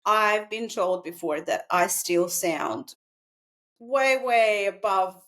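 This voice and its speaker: A woman's voice: mid-range voice, a bit bright. Woman, thinking aloud. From Russia. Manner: halting, thinking aloud, frequently laughing. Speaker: psychotherapist guest. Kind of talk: unscripted reflective conversation.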